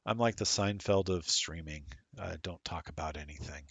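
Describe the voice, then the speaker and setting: A man's voice: sits low, normal speed, gravelly, a bit bright, slightly rough, no room sound. A middle-aged man rambling casually while commentating a streamed tabletop game, self-deprecating and chatty.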